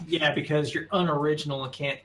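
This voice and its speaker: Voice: medium pitch, steady tone, a little fast, a bit bright, soft background music. A young adult friend teasing the host sarcastically during a game session. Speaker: friend playing along. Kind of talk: casual banter and reading game dialogue.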